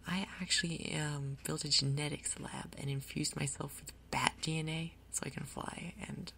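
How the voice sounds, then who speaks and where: A woman giving soft-spoken ASMR game commentary in a breathy, gentle, unhurried way. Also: deep voice, fairly even intonation, a little hiss or hum. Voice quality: breathy, whispery. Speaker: gaming video host.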